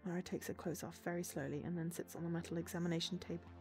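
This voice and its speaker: A woman's voice: lowish voice, level tone, racing, a little mellow. A woman streamer reading a visual novel aloud as dramatic narration. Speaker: game streamer. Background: game music.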